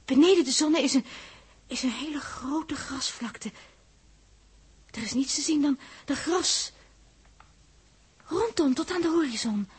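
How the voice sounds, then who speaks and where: An actress playing a wistful young woman space traveller who sees visions, in a breathy, slow, dramatic 1960s Dutch radio drama style. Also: high-pitched, darkish tone. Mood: entranced, wistful.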